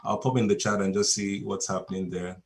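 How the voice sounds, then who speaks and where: A lower-voiced man from Nigeria, a native Yoruba speaker, gives a relaxed coaching talk over a video call. He tells stories from his clients and chuckles between points. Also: fairly quick, gravelly, a little hiss or hum.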